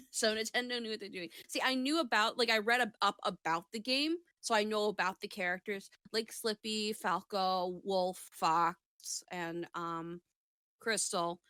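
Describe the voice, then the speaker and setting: A woman's voice: mid-range voice, fairly even intonation, a little slow, pushed and bright, dry recording. Rambling, sweary woman in a dry-sounding recording. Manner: rambling, self-interrupting, sweary. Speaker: co-host of a chat show. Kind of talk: casual gaming chat.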